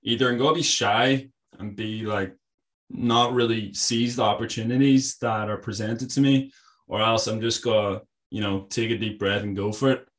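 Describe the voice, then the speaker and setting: A young man hosting a podcast, relaxed and casual, with a fairly even, monotone way of talking. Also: on the low side, moderate pace. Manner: relaxed, laughs, self-described monotone.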